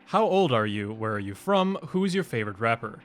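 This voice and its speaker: A man's voice: mid-range voice, very animated, fairly quick, faint music behind. A man in his twenties from Denmark, answering fan questions in a loose, rambling way with many fillers and restarts. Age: 20s. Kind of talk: casual Q&A vlog.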